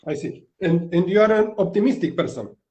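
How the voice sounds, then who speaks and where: A man hosting a live interview over a video call. He asks questions in halting, non-native phrasing and adds brief interjections. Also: high voice, expressive, a little mellow. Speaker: host. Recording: dull lo-fi video call audio.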